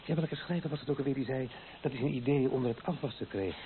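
An actor playing an affectionate, teasing husband in a wheelchair in a Dutch radio play, playful and intimate. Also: male voice, mid-range voice, fast talker, lightly acted. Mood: musing, playful. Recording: tinny, band-limited old radio recording, faint noise.

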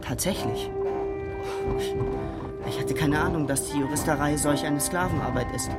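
An actor playing a smug, gushing gentleman suitor in a German radio play, effusive and over-polite. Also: on the high side, normal speed, over loud music, natural voice. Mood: fawning.

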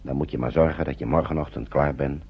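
Very deep-voiced, fatherly older uncle. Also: fast talker, creaky. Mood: earnest, cautioning. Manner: avuncular, hesitant, repeats his words. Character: kindly, fatherly older uncle guiding refugee children to England. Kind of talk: Dutch radio drama acting. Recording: dull, lo-fi old radio recording.

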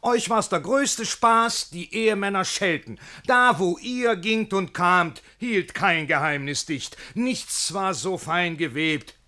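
An actor playing a worldly, wry gentleman who cautions his friend in urbane rhymed verse, in a classic radio play. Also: high voice, exaggerated voice. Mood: cautioning. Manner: urbane, reasoning, measured declamation.

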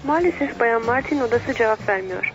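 A woman's voice: fairly high pitch, lively intonation, a little fast. An actress in a radio drama playing a polite, efficient female hotel receptionist. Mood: courteous. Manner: courteous, efficient. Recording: dull lo-fi recording over prominent music.

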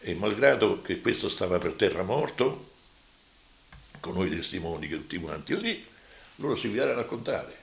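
An elderly man in his eighties with a Roman accent, recounting his memories as a witness, vividly but with halting repetitions. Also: low voice, big pitch swings, creaky, a bit bright, roomy sound. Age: 80s. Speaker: survivor giving testimony. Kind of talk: spoken reminiscence.

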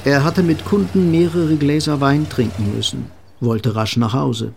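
A man's voice: mid-range voice, steady tone, unhurried, creaky, slight background noise. A man delivering scripted literary narration for a radio drama.

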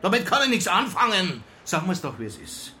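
Casting: irascible police boss, middle-aged, high-pitched, blustering, noisy background. Radio crime drama. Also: male voice, sing-song, fairly quick, bright, forceful tone, full character voice. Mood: scornful, exasperated. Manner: blustering, indignant, scolding. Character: irascible middle-aged male police chief, easily driven to rage. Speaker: police chief.

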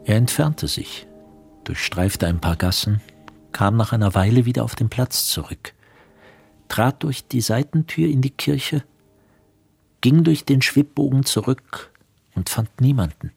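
A man narrating a literary radio drama in a measured storytelling manner. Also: mid-range voice, gravelly, a little mellow. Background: instrumental music.

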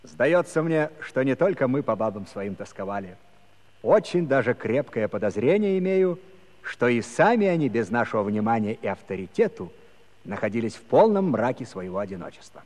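An actor playing a wry Cossack villager in a musical comedy, speaking in wordy mock-official phrases. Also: male voice, medium pitch, big pitch swings, moderate pace, darkish tone, natural voice. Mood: knowing, wry. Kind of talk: theatrical musical comedy monologue.

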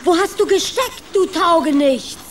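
A woman's voice: extremely high pitch, lively intonation, moderate pace, a crowd in the background. A woman voicing a resolute, scolding mother rat who leads the pack in a children's radio play.

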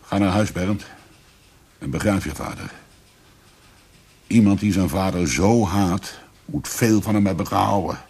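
Low-voiced, husky, grave male investigator. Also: measured pace, long pauses, a little mellow, heavily acted. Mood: grave, probing. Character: probing, grave male police investigator questioning a suspect. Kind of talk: radio drama acting.

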